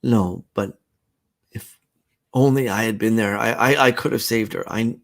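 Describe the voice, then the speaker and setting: Man as weary doctor, subdued, low, slow. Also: creaky. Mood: grieving. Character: weary small-town male doctor.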